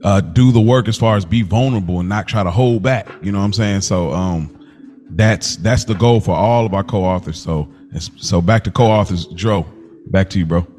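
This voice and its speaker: A man's voice: low-pitched, normal speed, a little mellow. A man co-hosting a podcast in an informal, rambling chat, repeating phrases for emphasis. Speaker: podcast co-host. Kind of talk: casual chat. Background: music.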